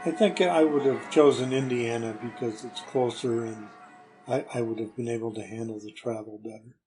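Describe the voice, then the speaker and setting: A man's voice: mid-range voice, fairly even intonation, normal speed, loud music behind the voice. A man appearing as a podcast guest, answering questions with short, hesitant, dry replies.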